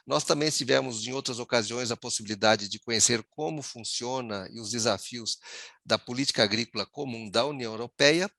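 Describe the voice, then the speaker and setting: A man, moderating a formal webinar over a video call in a measured way, often pausing on fillers. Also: medium pitch, creaky, bright, forceful tone, no echo, studio quality. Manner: measured, with frequent hesitation fillers.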